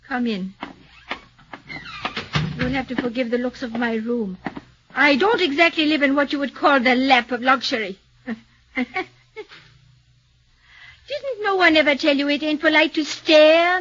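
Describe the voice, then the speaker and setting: A woman's voice: high voice, unhurried, pauses often, fairly bright tone. A sly scarred veiled woman, coarse and wheedling. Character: scarred bitter middle-aged woman in a veil, sly and rough. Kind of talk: 1940s radio acting. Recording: dull lo-fi old radio broadcast.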